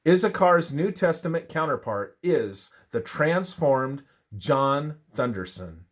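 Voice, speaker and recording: A man narrates a scripted Bible devotional. His delivery is slow and measured, with a lively, varied intonation. He phrases each line deliberately, as a reader of religious study material. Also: fairly high pitch.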